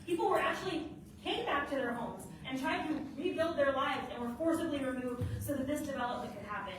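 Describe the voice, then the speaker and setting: A woman giving a forceful, accusatory public comment in a city council chamber. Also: high-pitched, brisk, slight background noise. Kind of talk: impassioned public comment speech. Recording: echoey hall from far off.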